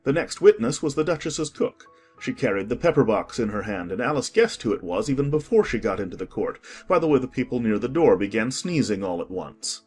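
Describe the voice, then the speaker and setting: A man narrating a storybook in a lively, expressive manner. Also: mid-range voice, a little fast, husky, soft background music.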